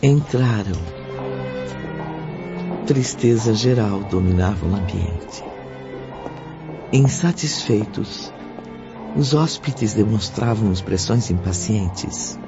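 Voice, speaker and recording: A man's voice: on the low side, creaky. A man narrating a radio drama in a scripted, dramatic storytelling style. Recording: dull lo-fi audio with prominent music.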